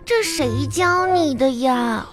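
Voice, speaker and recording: A woman's voice: high-pitched, lively intonation, takes it slowly. A woman playing a chatty, vain, naive little schoolgirl in a comedy radio play, with childlike exclamations and repeated words. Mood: amazed, curious. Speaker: comedy radio show host. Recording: loud music bed behind the voice. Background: loud music.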